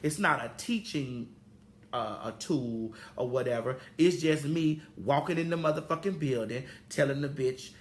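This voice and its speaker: A woman's voice: very deep voice, normal speed. A woman in her forties hosting a casual talk show, profane and preachy, drawling out her stories. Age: 40s. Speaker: host.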